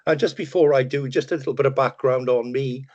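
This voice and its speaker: An older man with a Welsh accent gives a relaxed webinar talk, joking at his own expense and filling pauses with ums and uhs. His voice sits at a middling pitch. The video call audio is a bit muffled. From Wales. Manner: relaxed, chatty, self-deprecating humour with many ums and uhs. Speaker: webinar presenter. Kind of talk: presentation over a video call. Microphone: video call microphone.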